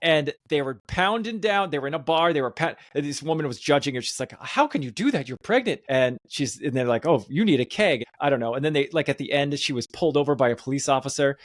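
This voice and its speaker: A man's voice: a little high, animated, quick pace, hardly any pauses, no room sound, clean studio sound. A man hosting a podcast, chatting casually in an emphatic, enthusiastic way. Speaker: podcast host.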